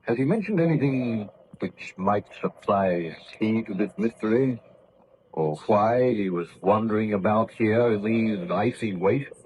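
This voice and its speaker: Steady, kindly but skeptical sea captain: low voice, formal courtesy, old radio-play style, hissy recording. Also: male voice, unhurried, lo-fi sound. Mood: pondering. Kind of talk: old-time radio drama acting.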